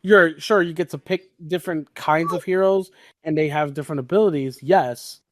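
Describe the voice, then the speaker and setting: A man's voice: on the high side, normal speed, no echo, clean studio sound. A man co-hosting a casual gaming podcast, talking emphatically and repeating his points for stress. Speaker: podcast co-host.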